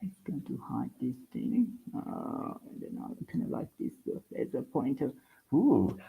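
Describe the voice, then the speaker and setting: A man's voice: medium pitch, very animated, measured pace, gravelly, slightly rough, phone-quality sound. A man from Sabah, Malaysia, an English teacher giving a friendly webinar talk over a video call. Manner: friendly, plain presenting with small restarts. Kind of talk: online webinar presentation.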